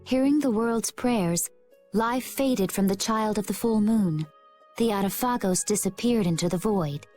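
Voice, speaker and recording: Actress as a poised, calm young woman. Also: mid-range voice, a little slow, natural voice. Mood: solemn. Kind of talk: video game dialogue, composed. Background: background music.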